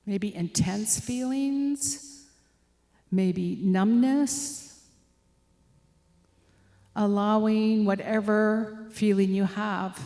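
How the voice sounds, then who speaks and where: Female meditation guide, unhurried and relaxed, gently inviting delivery. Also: mid-range voice, gravelly. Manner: gentle, inviting instructions with soft suggestions. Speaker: meditation leader.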